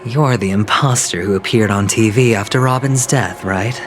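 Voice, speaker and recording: A man's voice: lowish voice, normal speed, background noise, natural voice. A male actor voicing a bold, scheming young hero in a video game, defiant and sardonic.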